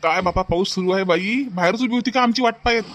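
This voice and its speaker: A man's voice: high-pitched, fast talker, heavy background noise, natural voice. A man voicing a chatty, easygoing older village father-in-law in a cartoon comedy, rambling and repeating himself in a rustic Vidarbha Marathi accent. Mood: jolly, rambling. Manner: rambling, folksy, repeats phrases.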